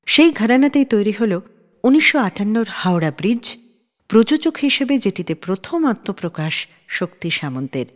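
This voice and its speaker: A woman telling a scripted story as a radio show host. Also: on the high side. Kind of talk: scripted radio storytelling narration about film history. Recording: long reverb on the voice.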